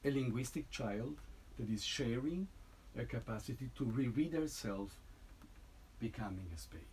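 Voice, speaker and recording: Man explaining his piece haltingly. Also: mid-range voice, expressive, a little mellow, slight background noise, low-quality recording. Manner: halting, many restarts and tag "no?". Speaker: composer presenting a piece. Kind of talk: informal talk to an audience.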